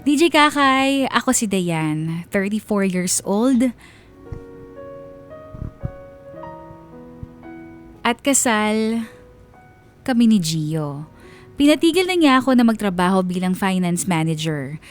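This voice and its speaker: Wistful wife over soft music. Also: female voice, medium pitch, big pitch swings, a little slow. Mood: tender, proud. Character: devoted, wistful wife of thirty-four confessing her story. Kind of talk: dramatized first-person letter narration. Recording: music bed behind the voice.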